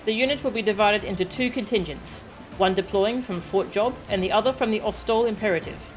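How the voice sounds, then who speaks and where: Military captain read by a woman, video game briefing, measured and commanding, medium pitch, faint music. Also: lightly acted. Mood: businesslike. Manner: measured, formal, authoritative wording.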